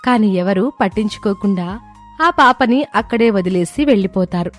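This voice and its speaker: A woman's voice: medium pitch, animated, moderate pace, faint music behind. A woman storyteller reading scripted narration for a moral story.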